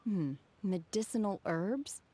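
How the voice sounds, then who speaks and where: A woman voicing an aloof, stoic young adept from the mountains in a video game, calm, blunt and deadpan. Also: mid-range voice, slow, background noise, close to an everyday voice. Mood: calm, matter-of-fact.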